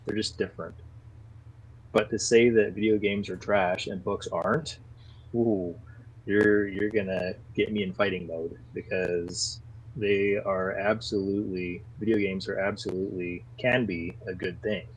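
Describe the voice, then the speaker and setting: A man with a very low voice chats casually on a podcast. He speaks earnestly and grows animated when he makes a case. A faint hiss sits under the recording. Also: measured pace, poor audio. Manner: earnest, animated when defending his views. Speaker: podcast guest. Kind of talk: casual conversation.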